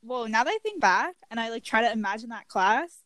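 A young woman talking in a casual chat, recorded close in a dry room. She tells stories in a loose, rambling way, full of fillers. Her voice sits fairly high. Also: lively intonation, brisk, a bit bright, no room sound. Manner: chatty, filler-heavy storytelling.

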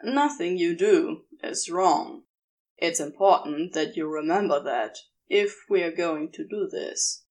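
A woman voicing a gentle but commanding male demon, an affectionate lover who speaks with deliberate reassurance. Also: on the low side, lively intonation, pitched below the performer's own voice. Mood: tender, reassuring.

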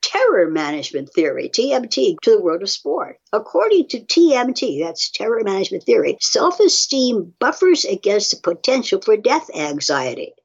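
A woman's voice: medium pitch, very animated, moderate pace, gravelly, few pauses, husky. A woman in a casual discussion, explaining and reading research passages aloud in a questioning, wry manner. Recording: dull, muffled lo-fi audio.

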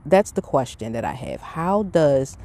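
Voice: mid-range voice, wildly swooping pitch, unhurried, mellow. A middle-aged podcast host giving an earnest, reflective, conversational monologue, talking casually. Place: outdoors. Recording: windy outdoor background noise. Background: wind, outdoor ambience.

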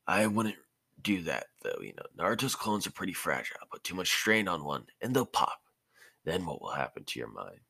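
Man as an easygoing, gravelly ninja teacher. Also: sits low, big pitch swings, normal speed, pushed and bright, dry recording, full character voice. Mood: cautioning. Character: easygoing adult male ninja squad teacher.

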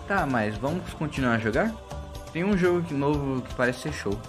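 A man's voice: medium pitch, lively intonation, normal speed, music in the background, close to an everyday voice. A man playing a short young boy, a casual gamer friend, in an amateur audio drama. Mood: casual, curious.

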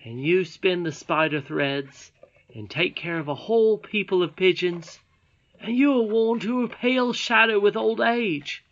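A woman's voice: lowish voice, pitched above the performer's own voice. A woman voicing an earnest, wondering young boy miner while reading a storybook aloud. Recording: dull lo-fi recording.

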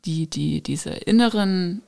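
Female podcast host, lower register, encouraging and reflective. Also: slow pace, gravelly, dark tone. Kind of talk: casual podcast conversation.

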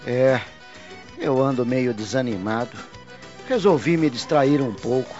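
A man's voice: mid-range voice, a little slow, darkish tone, faint music behind, natural voice. A man playing a troubled, despondent grown son in a radio drama, with an earnest delivery. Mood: dejected. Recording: dull lo-fi audio.

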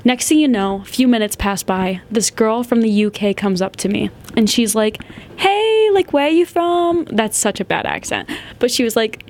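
A young woman in her twenties tells a story on her podcast in a loose, chatty way. She giggles often and fills her sentences with "like." Her voice sits at a middling pitch for a woman. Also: normal speed. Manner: chatty, giggly, heavy use of "like". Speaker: podcast host. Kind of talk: casual storytelling.

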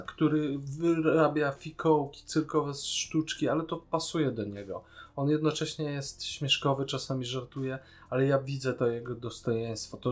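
A man talking casually and giving opinions as a podcast co-host. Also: mid-range voice.

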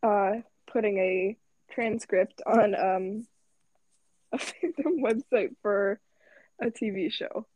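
A young woman co-hosting a podcast, relaxed and hesitant. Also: medium pitch, slow, slight background noise, poor audio. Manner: relaxed, laughs often, hesitant. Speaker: podcast co-host. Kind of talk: casual chat.

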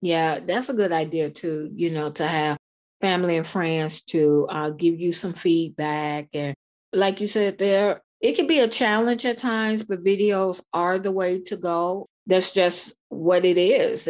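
A woman hosting an interview, asking questions in a measured, encouraging way. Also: fairly low pitch, steady tone, a little mellow. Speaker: interviewer, host. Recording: dull lo-fi audio.

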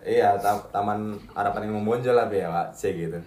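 Fast-talking low-voiced man, echoey room. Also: darkish tone. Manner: quick interjections, slangy. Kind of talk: casual chat. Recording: echoey room, faint noise.

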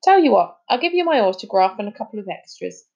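A woman's voice: mid-range voice, very animated, fast talker, darkish tone, highs cut off. A woman voicing an easygoing, dismissive male celebrity in an audiobook, with chatty, clipped casual phrasing. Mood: breezy, placating. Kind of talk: audiobook character dialogue.

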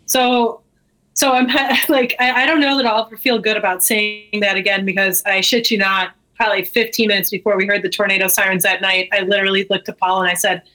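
A woman's voice: medium pitch, fairly even intonation, brisk, bright, forceful tone, poor audio. A woman talking candidly and informally as a guest in a casual interview. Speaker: guest, brewery co-owner.

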